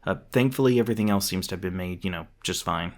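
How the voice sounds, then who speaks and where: A man playing a gruff, defensive monster hunter who secretly cares, in an intimate roleplay audio drama, with casual, sweary, hesitant speech. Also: low-pitched, quick pace. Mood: awkward small talk. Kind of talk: intimate ASMR roleplay acting.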